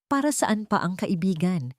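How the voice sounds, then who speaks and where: A woman narrator voicing a calm, loyal male friend who gently reassures, in a story. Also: medium pitch, moderate pace, no room sound, clean studio sound, lightly acted. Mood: gentle.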